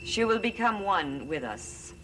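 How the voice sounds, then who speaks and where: An actress playing an imperious witch high priestess of a devilish cult in a gothic soap opera, solemn, commanding and incantatory. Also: sing-song, measured pace, very noisy.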